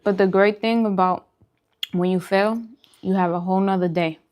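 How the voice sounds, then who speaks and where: A woman's voice: mid-range voice, fairly even intonation, moderate pace, darkish tone. A woman food vlogger talking casually and reflectively to her viewers in a conversational, encouraging way. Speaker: vegan food vlogger and host. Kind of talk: casual mukbang chat to camera.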